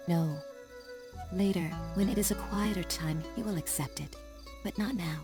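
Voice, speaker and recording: A woman's voice: fairly low pitch, normal speed, natural voice. An actress playing a tender, wary young woman in love in a dramatic game cutscene. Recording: clear music behind the voice.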